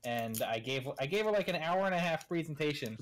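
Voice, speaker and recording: Young philly guy streaming a game, chatty and laughy, kinda high voice that bounces around. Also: lively intonation, fairly quick. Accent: Philadelphia. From Pennsylvania. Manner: laughs often, tells anecdotes. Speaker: gaming stream host. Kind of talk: casual chat while playing a video game.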